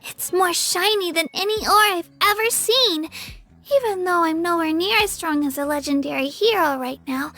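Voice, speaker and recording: A young girl miner from a video game, with a very high, bright voice. She is timid but eager, sweet and earnest, and easily flustered into little stammers. Soft music sits behind her. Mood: warm, earnest. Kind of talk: animated game character voice lines.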